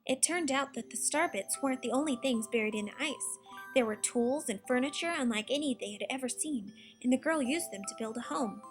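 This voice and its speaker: A woman narrates a children's storybook in a high-pitched voice. She reads from the text as a bedtime-tale narrator, with music playing behind her. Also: fairly quick.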